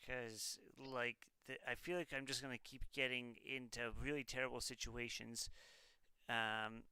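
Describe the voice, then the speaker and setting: Slow, gravelly man thinking aloud. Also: mid-range voice, flat delivery, bright, forceful tone, no echo. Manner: hesitant, muttering to self while deliberating. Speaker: tabletop card game player. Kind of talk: casual live play, thinking aloud through moves. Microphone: close personal mic on an online video call.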